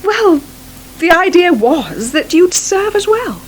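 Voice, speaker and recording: An actress playing a flustered, genteel dinner-party hostess in a radio soap, fussy, gushing and apologetic. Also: female voice, very high voice, big pitch swings, slow, heavily acted. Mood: embarrassed, coaxing. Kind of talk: naturalistic radio soap acting. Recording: noisy 1980s radio broadcast.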